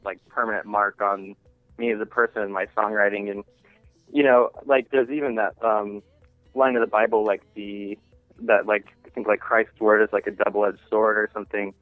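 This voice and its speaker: Male musician guest, lowish voice, casual and halting interview talk, heard over a thin, phone-like connection. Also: little pitch movement, no room sound, faint music behind. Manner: halting, informal, rambling thought by thought. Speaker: interviewed singer-songwriter and music teacher. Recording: thin phone-line sound.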